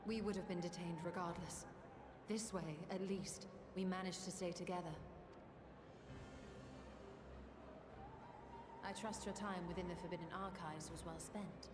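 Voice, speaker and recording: A woman's voice: lowish voice, fairly even intonation, normal speed, natural voice. A woman playing a proud, eloquent young noblewoman in a game cutscene, with formal, pointed delivery. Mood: composed. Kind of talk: video game cutscene acting. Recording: echoey hall reverb, music underneath.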